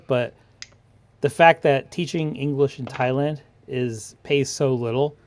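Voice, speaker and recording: A man talks casually as part of a livestream panel. His voice sits at a middle pitch, with a very dry sound and little room around it. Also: no echo. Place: online livestream panel call.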